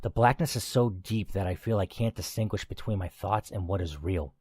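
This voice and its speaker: A man's voice: sits low, normal speed, darkish tone, slightly rough, no room sound. A man reading a first-person game story aloud as the player, in a dramatic narrating style.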